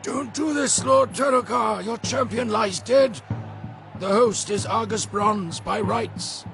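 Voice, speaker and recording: A man voicing a strained, gravelly warlike figure who speaks with dramatic emphasis in an audio drama. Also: sits high, slow pace, pitched above the performer's own voice, heavily acted. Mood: urgent warning. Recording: loud music and crowd behind.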